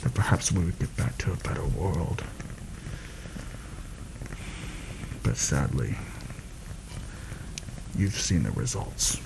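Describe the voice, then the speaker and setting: Man playing a low, gravelly soft-spoken rebel assassin. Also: sing-song, heavily acted. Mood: rueful, resolved. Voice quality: gravelly, creaky. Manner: hushed, measured, conspiratorial. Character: seasoned, wry rebel assassin planning a palace heist. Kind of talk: soft-spoken ASMR roleplay. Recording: noticeable background noise.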